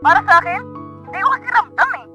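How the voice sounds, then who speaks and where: A woman's voice: very high voice, expressive, racing, highs cut off, over loud music. A woman playing a rebellious teenage daughter who talks back to her father in a radio drama. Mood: resentful. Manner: defiant, sulky, talking back.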